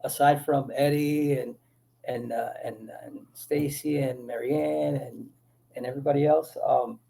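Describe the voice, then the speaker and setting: A man from the Dominican Republic, an actor guest, telling animated stories in a casual interview with many restarts. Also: medium pitch, measured pace, creaky, rough recording.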